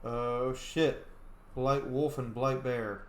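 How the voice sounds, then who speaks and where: A man giving casual let's-play commentary, excitable, swearing freely and yelling during fights. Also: mid-range voice, slow, slight background noise. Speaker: gamer playing a roleplay series. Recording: echoey room with game music behind. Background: game music and combat sounds.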